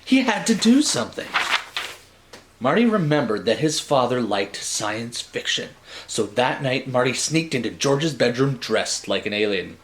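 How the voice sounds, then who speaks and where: Theatrical male storyteller with swooping pitch. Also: sing-song, unhurried, slight background noise. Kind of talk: dramatic storybook narration with wry asides.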